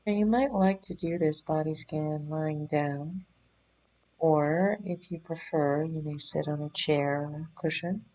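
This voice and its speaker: A woman leading a guided meditation in a gentle, soothing, unhurried manner, leaving pauses between phrases. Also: sits low, fairly even intonation, rough recording. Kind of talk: guided body scan meditation.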